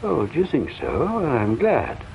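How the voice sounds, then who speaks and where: An actor playing an educated, self-mocking, resigned gentleman culprit in a radio drama, polite and reflective, heard through a telephone. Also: mid-range voice, wildly swooping pitch, a little slow, vocal fry, heavily acted. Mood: resigned, wistful. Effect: telephone filter. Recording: thin phone-line sound with faint noise.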